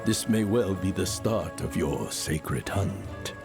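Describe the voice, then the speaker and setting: Slow, solemn male emissary. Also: deep voice, music in the background. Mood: grave. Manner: grave, formal, deliberate. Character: solemn spiritual emissary guiding a warrior. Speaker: emissary character. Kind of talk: fantasy game dialogue acting.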